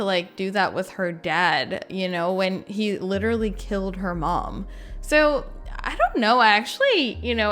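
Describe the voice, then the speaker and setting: A woman's voice: fairly high pitch, a bit bright. A woman giving casual, informal reaction commentary on video clips, rambling and leaning on filler words like "like". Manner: informal, rambling, heavy use of "like". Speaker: gaming video creator.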